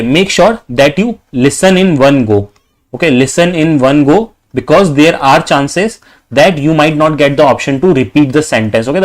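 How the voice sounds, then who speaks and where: A man with an Indian accent, tutoring exam preparation, reading practice sentences aloud slowly and saying okay often. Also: a little high. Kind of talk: tutorial explaining test questions.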